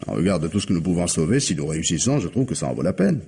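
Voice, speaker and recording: An actor playing a scheming, persuasive husband in a French radio drama, with an accent from France and an insistent, coaxing way of reasoning. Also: male voice, low-pitched, big pitch swings, very fast, creaky, warm tone, slightly rough, heavily acted. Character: scheming, persuasive husband desperate to save his home.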